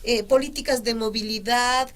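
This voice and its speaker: A woman's voice: fairly high pitch, steady tone, normal speed. A woman journalist from Veracruz, speaking earnestly as an interview guest and repeating words for emphasis. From Veracruz, Mexico. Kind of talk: conversational interview.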